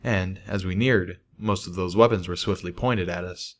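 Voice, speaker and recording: A man with a fairly low voice narrates a first-person story. He reads from a script as an audiobook narrator. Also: steady tone, moderate pace. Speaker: audiobook reader of fan fiction.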